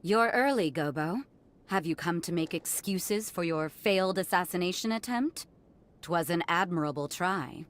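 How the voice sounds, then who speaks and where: A woman's voice: lowish voice, moderate pace, close to an everyday voice. A woman voicing a defiant, sardonic noblewoman in a video game, with a blunt, commanding, barbed way of talking. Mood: mocking. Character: defiant, sardonic noblewoman ruling a sunken city. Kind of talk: scripted video game cutscene acting.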